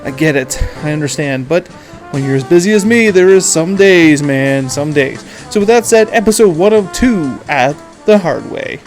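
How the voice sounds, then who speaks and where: A man's voice: on the high side, expressive, normal speed, faint music behind. A man hosting a podcast in an informal, jokey, rambling way. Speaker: podcast host. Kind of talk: casual podcast chat.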